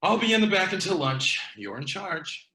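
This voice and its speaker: A man plays a grumbly repairman who avoids customers, in a casual comedy read. His voice is bright and lively in pitch, and the room echoes around it. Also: normal speed, heavily acted. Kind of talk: comedy script table read.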